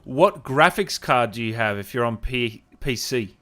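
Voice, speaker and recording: A man's voice: mid-range voice, expressive, measured pace, sharp tone. A man hosting a gaming livestream, chatting casually and appreciatively with his guest and viewers. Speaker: host of a gaming interview livestream.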